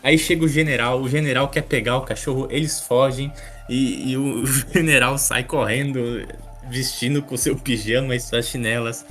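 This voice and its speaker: Casual Brazilian man hosting over soft music. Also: mid-range voice. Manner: colloquial, amused. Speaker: podcast host. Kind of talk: casual comics commentary.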